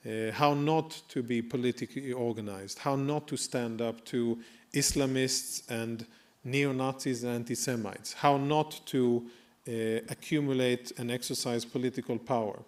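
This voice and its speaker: Man from Sweden, mid-pitched, earnest speech at a dinner, halting with fillers. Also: fairly even intonation. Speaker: guest speaker. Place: banquet hall.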